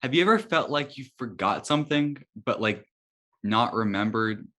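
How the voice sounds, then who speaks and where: A man playing an anxious superhero newcomer, speaking haltingly in a scripted table read. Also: medium pitch, expressive, pushed and bright. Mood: worried, hesitant. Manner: halting, unsure. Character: anxious, hesitant young male superhero newcomer.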